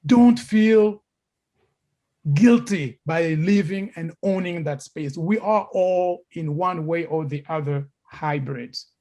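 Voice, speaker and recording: A man's voice: fairly high pitch, takes it slowly, warm tone. A middle-aged man from Congo giving a lecture as a story, repeating words and restarting sentences.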